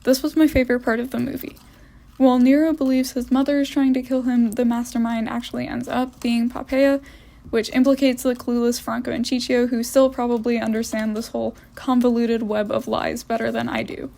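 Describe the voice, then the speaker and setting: A woman's voice: a little high, little pitch movement, brisk, vocal fry, dark tone. A young woman giving a casual, wry voice-over review of films for her art vlog. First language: English. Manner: wry, opinionated.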